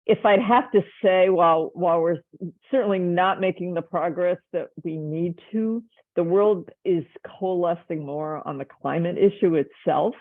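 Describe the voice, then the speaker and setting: A woman's voice: fairly low pitch, normal speed, no room sound. A woman speaking as a panelist on a video call, thoughtful and hedging with fillers. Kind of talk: virtual panel discussion. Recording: tinny phone-like dry sound.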